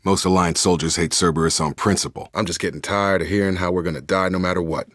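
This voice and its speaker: A man's voice: deep voice, steady tone, fast, fairly bright tone, no room sound, studio quality. A man playing a loyal, guarded young soldier in a sci-fi video game, speaking in an earnest, controlled, matter-of-fact way. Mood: firm, frank.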